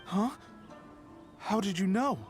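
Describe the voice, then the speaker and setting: Game bit part: male publishing clerk, courteous, hesitant, formal, on the high side. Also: slow. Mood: nervous. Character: polite, nervous male publishing-house editor, apologetic. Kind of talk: anime video game voice acting. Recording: soft game music behind.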